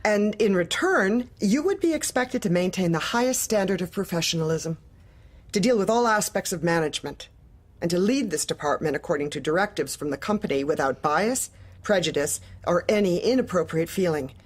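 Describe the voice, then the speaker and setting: A cold female corporate boss in an audio drama, with a lowish, husky voice. She speaks formally and deliberately, every polite question carrying quiet menace. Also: expressive. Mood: stern, insistent. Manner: measured, formal, probing. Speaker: actress.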